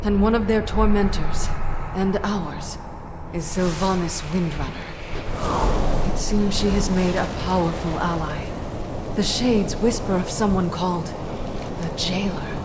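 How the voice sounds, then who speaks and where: A woman's voice: on the low side, heavy background noise, natural voice. A woman playing a grave, weary mage held captive in an underworld, in a video game.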